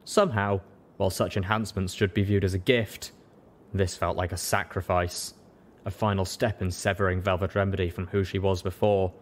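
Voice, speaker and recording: A man's voice: low voice, flat delivery, normal speed. A man reading scripted story narration as an audiobook narrator. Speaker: audiobook reader.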